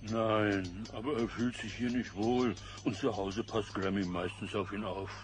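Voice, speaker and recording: A man voicing a gentle, anxious young bear, earnest and soft-hearted, in a German children's audio drama. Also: fairly low pitch, fairly even intonation, a little slow, close to an everyday voice. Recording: noisy old cassette audio drama.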